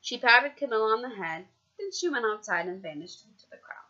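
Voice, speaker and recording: Bright-voiced woman reading and commenting informally. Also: fairly high pitch, fairly quick, highs cut off. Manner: expressive, informal. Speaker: student teacher reading a picture book aloud. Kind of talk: storybook read-aloud with casual teaching commentary.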